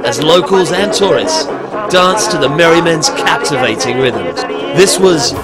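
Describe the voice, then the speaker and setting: A man narrates a scripted documentary in an upbeat, promotional tone, his voice a touch high. Loud band music plays under the voice-over throughout. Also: animated, measured pace.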